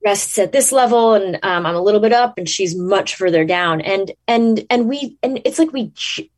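A woman actress talking casually in an interview, thinking aloud and restarting her sentences, her pitch jumping up when she makes a point. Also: normal speed, a little mellow. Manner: rises in pitch when emphatic.

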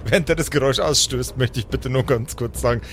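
A man's voice: medium pitch, fast talker, a little hiss or hum. A man chatting casually as a player in a tabletop game, slangy and sweary with bits of English mixed in. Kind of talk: casual banter.

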